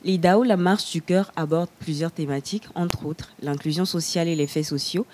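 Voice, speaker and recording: A young woman, a slam poet, explaining her show in a measured way in a TV studio interview. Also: on the low side, mellow, slight background noise. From Togo. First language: Lugba.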